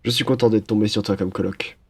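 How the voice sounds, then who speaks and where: A man's voice: lowish voice, steady tone, fast talker, slightly rough. A young man playing a cheerful, teasing student roommate in a casual, one-sided audio roleplay. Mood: friendly, joking. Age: young adult. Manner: playful, chatty, bantering.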